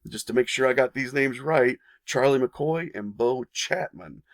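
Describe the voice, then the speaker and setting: A man with a gravelly, mid-pitched voice narrates a history lesson for his channel. He reads the story, then drops into casual asides that point viewers to more. The sound is dry and close, with no room around it. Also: unhurried, dry recording.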